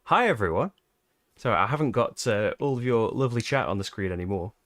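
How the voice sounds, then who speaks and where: A man's voice: fairly low pitch, expressive, fast, fairly bright tone, dry recording, studio quality. A British man hosting a live board game playthrough, chatting casually and laughing often at his own expense. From Britain. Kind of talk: casual live-stream chat.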